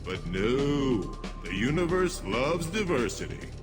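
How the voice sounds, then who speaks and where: An actor playing a dry, put-upon space station groundskeeper in a video game. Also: male voice, mid-range voice, very animated, slow pace, music in the background. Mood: wry. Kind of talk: video game character acting.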